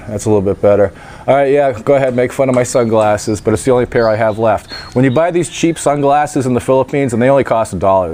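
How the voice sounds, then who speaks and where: A man's voice: medium pitch, darkish tone. A man vlogging outdoors in casual talk, a little nasal from a stuffed-up nose, with chatty, wry asides. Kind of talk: casual vlog talk to camera. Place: outdoors in sunshine by a gate.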